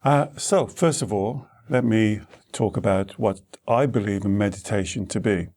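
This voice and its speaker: Low, mellow, creaky-voiced man guiding meditation. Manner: unhurried, reassuring, pausing between short phrases. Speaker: meditation teacher. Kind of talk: guided meditation, gentle spoken instruction. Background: soft instrumental music.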